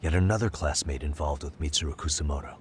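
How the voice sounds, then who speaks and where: An actor playing a calm, sharp detective in an English game dub, measured and thoughtful as he reasons through a case. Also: very deep voice, slight background noise. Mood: focused. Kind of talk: English video game dub cutscene acting.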